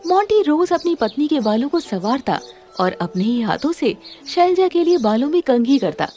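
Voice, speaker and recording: A high-pitched woman narrates a scripted bedtime moral story. Her delivery swings widely in pitch from phrase to phrase. Music plays underneath her voice. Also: sing-song, normal speed, mellow.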